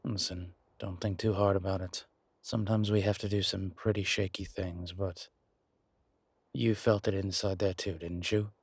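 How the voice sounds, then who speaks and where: A man playing a commanding male incubus demon investigator in an audio roleplay, terse and colloquial, with a low, husky voice. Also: little pitch movement, moderate pace, dry recording. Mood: weary, reassuring. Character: gruff, urgent male incubus demon investigator, protective, wryly friendly. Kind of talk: immersive audio roleplay acting.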